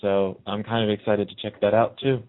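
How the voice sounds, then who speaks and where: A man's voice: fairly low pitch, level tone, a little fast. A man hosting a casual fan chat, agreeable and enthusiastic in the way he talks. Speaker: talk show host. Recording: narrow tinny phone-line sound.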